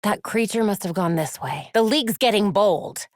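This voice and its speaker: An actress playing a young, determined vigilante superheroine in a video game, with an American accent, caring and dryly witty in an earnest, conversational way. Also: female voice, mid-range voice, expressive, moderate pace, no room sound, clean studio sound, close to an everyday voice. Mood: focused, investigative. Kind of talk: scripted game voice lines.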